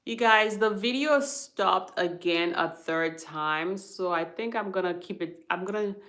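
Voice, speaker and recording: A woman's voice: lowish voice, a little slow, a bit bright. A woman hosting a live stream about hospice, explaining patiently and checking in with viewers after each point. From Haiti. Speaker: hospice worker and livestream host.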